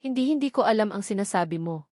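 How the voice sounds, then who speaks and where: A woman voicing a defiant, cornered female villain, indignant and loud, in a dramatic story. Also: mid-range voice, expressive, fast, dry recording, clean studio sound, natural voice. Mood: frightened denial. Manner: shouting, indignant.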